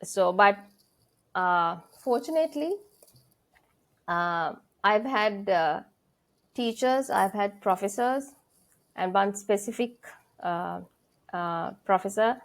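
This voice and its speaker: A woman's voice: mid-range voice, lively intonation, takes it slowly, long pauses. Earnest Sri Lankan woman lecturer. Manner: earnest, thinking aloud with frequent fillers and restarts. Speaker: senior English lecturer, guest. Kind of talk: conversational interview.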